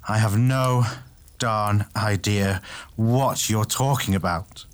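A man's voice: sits low, level tone, normal speed, darkish tone, natural voice. A man playing a blunt, grim warrior who survived battle, in a fantasy story. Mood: blunt disbelief. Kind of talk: dramatised fantasy story dialogue.

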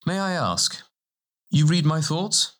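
Man putting on a fussy, timid scholar, swooping pitch. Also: very animated, takes it slowly, fairly bright tone, exaggerated voice. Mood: hesitant, curious. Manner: wordy, formal, anxious, tentative. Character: hesitant, scholarly, timid man with pedantic courtesy. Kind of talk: audiobook character dialogue. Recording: music bed behind the voice.

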